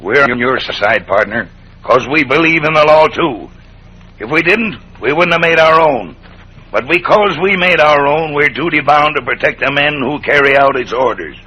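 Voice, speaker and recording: An actor playing a stern, stubborn cowboy camp cook in an old western radio drama, speaking in a plain, firm drawl with a husky voice. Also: male voice, on the high side, moderate pace, pushed and bright, slight background noise. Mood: firm, warning. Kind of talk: 1940s-50s western radio acting. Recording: dull old radio recording.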